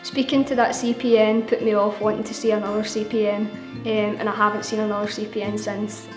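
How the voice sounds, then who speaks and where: Young woman, subdued interviewee, monotone higher voice, roomy sound. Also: fairly quick. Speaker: young volunteer. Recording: roomy sound over soft music.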